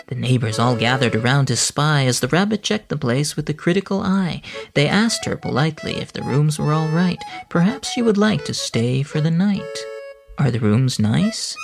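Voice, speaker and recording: Lady reading a rhyming kids book, very low voice, sing-song, music behind. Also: gravelly. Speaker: narrator.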